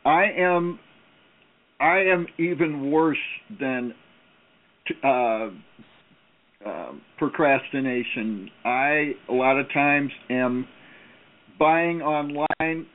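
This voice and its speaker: A man co-hosting a casual talk show, halting and self-deprecating with many "uh"s. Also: on the high side. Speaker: co-host. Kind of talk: casual chat. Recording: tinny phone-line radio sound.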